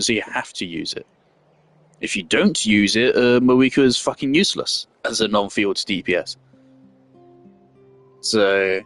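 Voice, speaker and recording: A young man giving casual, opinionated game commentary, blunt and sweary, pausing as he thinks. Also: mid-range voice, a bit bright, dry recording. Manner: blunt, sweary, hesitant phrasing. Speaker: game streamer.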